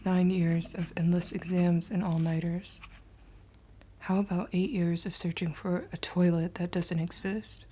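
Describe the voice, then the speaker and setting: A woman playing a tormented male hospital patient in a horror manga dub, breathy and whispery, with a brooding, dramatic delivery. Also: on the low side, little pitch movement, a little slow, mellow, slight background noise, natural voice. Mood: haunted, weary.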